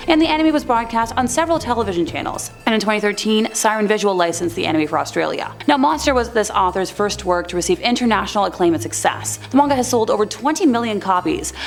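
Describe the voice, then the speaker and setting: A woman's voice: mid-range voice, creaky. A woman presenting a scripted countdown video as narrator, with a conversational manner and the odd informal aside. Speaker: presenter of a top-five list video.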